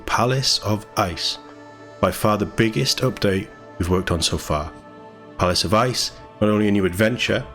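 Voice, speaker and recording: A man hosting a gaming news read-through, chatty and informal, with enthusiastic commentary as he goes. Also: fairly low pitch. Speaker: host of a gaming news channel. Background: background music.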